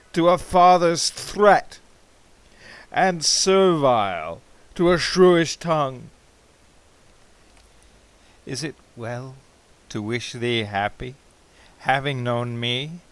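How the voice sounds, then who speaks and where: A man giving a dramatic poetry recitation in a measured, theatrical, expressive way, with drawn-out phrases. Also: fairly high pitch, clean tone, no room sound. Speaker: poetry reader.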